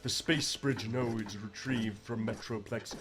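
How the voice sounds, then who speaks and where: A man's voice: fairly low pitch, steady tone, slow, music in the background, voice pushed up. A man voicing a cold, logical robot scientist in a fan comic dub, speaking in precise, wordy, pedantic terms. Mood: clinical, insistent.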